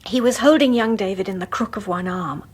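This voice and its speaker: An actress playing a haunted young mother in a radio horror drama, speaking hesitantly in a hushed, husky voice. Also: medium pitch, a little fast, close to an everyday voice. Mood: haunted, uneasy. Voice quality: breathy, husky. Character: anxious young mother, haunted and unsettled by her husband.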